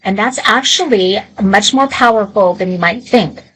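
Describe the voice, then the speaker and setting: A woman's voice: medium pitch, normal speed, fairly bright tone, breathy edge, rough recording. A woman hosting an informal live shopping demonstration, talking in a chatty, candid way with conversational asides.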